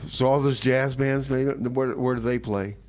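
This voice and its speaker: A man co-hosting a comedy radio show, bantering with an excitable, stammering, mock-exasperated delivery. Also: mid-range voice, moderate pace.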